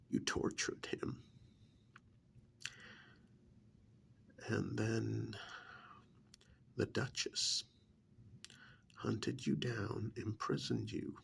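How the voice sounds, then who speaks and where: Man playing a slow, creaky, soft-spoken vampire emissary. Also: fairly low pitch, slight background noise, full character voice. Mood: stern, quietly accusing. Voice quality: breathy, half-whispered, creaky. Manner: slow, deliberate, condescending, hesitant pauses. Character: calm, menacing male vampire emissary of a queen. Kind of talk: soft-spoken ASMR role-play.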